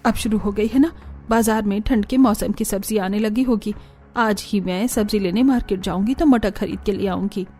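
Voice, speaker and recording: A woman's voice: mid-range voice, normal speed, dark tone, soft background music, natural voice. A woman voicing a homely, caring middle-aged mother in a cartoon story, motherly and fretful.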